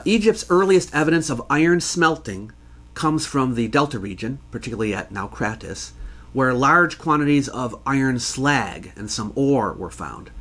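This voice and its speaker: A man hosting an educational show, reading scripted explanatory narration in a measured, scholarly way. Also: mid-range voice, a bit bright. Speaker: host answering viewer questions.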